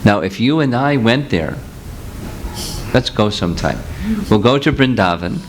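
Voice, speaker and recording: A man's voice: lowish voice, lively intonation, moderate pace, a little hiss or hum. A man giving a seminar talk as a spiritual teacher, explaining patiently with examples and answering questions. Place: seminar room with audience.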